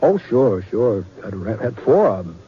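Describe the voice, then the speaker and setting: An American actor playing a kindly, folksy cowboy drifter in a 1950s radio western, speaking hesitantly with repeated words. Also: male voice, lowish voice, very animated, moderate pace, breathy edge, heavily acted. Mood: reminiscing, folksy. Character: kindly folksy drifting cowboy gunman telling a campfire story. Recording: dull vintage 1950s radio recording.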